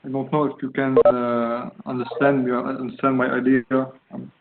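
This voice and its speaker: Halting man from Lebanon on a call. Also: mid-range voice, level tone, creaky. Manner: halting, searching for words, many fillers. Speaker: student presenting a project. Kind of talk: online video call presentation. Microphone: video call. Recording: tinny phone-like call audio, faint noise.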